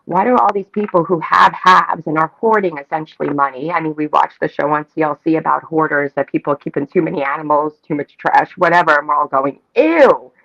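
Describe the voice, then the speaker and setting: A woman's voice: deep voice, fairly quick, gravelly, hardly any pauses, fairly bright tone, no room sound, dull audio. A woman chatting in an opinionated, emphatic, repetitive way as a talk show co-host. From Staten Island, New York, United States. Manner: emphatic, repetitive, casually profane. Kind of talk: animated opinionated casual chat.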